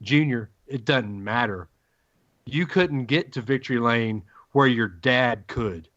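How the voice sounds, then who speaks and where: Podcast co-host, emphatic ranter, dry close mic, muffled lo-fi audio. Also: male voice, medium pitch, a bit bright, no echo. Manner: emphatic ranting, dramatic pauses. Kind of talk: casual sports podcast banter.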